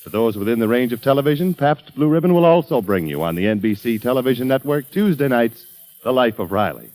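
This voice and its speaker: A man's voice: mid-range voice, very animated, quick pace, a little mellow, poor audio. A man working as the announcer of a 1940s radio broadcast, giving a formal, polished sponsor read. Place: radio studio.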